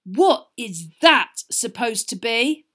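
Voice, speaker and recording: A woman reader acts out a teenage boy from a storybook. He is blunt and offhand, grumbling with lively movement in his pitch. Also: normal speed. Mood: disgusted.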